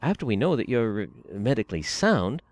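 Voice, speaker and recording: An actor playing a concerned elderly husband who gently coaxes and reassures his wife. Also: medium pitch, big pitch swings, a little fast, dry recording, lightly acted. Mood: coaxing. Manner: coaxing, reassuring.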